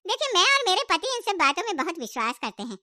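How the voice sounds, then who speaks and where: A woman's voice: very high-pitched, big pitch swings, fast, bright, forceful tone, no room sound, studio quality, voice pushed up, heavily acted. A woman voicing a blunt, superstitious middle-aged mother of a groom, formal and disapproving, in a cartoon story.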